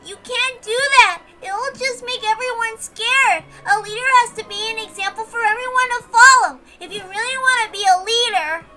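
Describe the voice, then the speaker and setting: Woman doing a high, brash, scolding leader. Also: normal speed, few pauses, pushed and bright, faint music behind, exaggerated voice, rough recording. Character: bossy, brash lecturer on leadership, scolding loudly.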